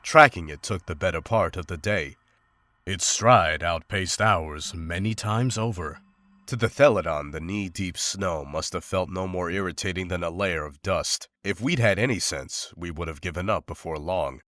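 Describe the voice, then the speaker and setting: Calm monster hunter, deep low voice, scholarly, matter-of-fact, literary phrasing, visual novel drama. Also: male voice, moderate pace, no echo. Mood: composed, reflective. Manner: formal, composed, literary. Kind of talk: scripted visual novel drama and journal narration.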